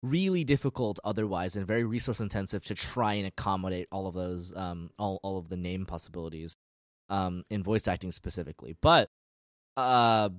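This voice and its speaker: A man's voice: deep voice, brisk, no echo, studio quality. A man co-hosting a podcast in casual chat, explaining things in a rambling, hesitant way. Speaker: podcast co-host.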